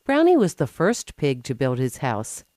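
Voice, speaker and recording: A woman's voice: extremely low pitch, huge pitch swings, moderate pace, warm tone, no room sound, clean studio sound. A woman narrating a scripted children's bedtime story as a storyteller.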